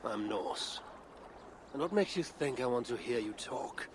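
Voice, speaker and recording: An actor playing a stern, commanding Norse clan leader in a video game, speaking with blunt control. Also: male voice, mid-range voice, expressive, unhurried, noisy background, natural voice. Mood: cold menace.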